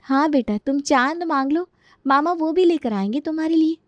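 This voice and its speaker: Woman playing high-pitched resentful young daughter-in-law. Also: moderate pace, no echo, lightly acted. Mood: sarcastic. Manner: sarcastic, aggrieved.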